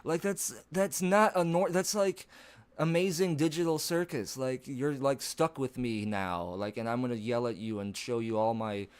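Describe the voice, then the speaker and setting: A man chatting casually on a live stream from home, rambling and swearing, laughing at himself, with a low voice that breaks into odd higher notes. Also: moderate pace, smooth.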